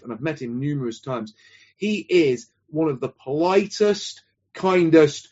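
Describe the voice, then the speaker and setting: A man hosting a livestream, appealing earnestly for donations and warmly introducing his guest with emphatic stress. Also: fairly high pitch. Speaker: host of a livestream show. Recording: dull lo-fi stream audio.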